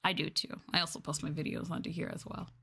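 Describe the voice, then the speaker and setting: Girl vtuber just chatting on stream, kinda hesitant, mulling stuff out loud. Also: low voice, steady tone, quick pace, gravelly, slightly rough. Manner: hesitant, thinking aloud. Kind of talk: casual livestream chat.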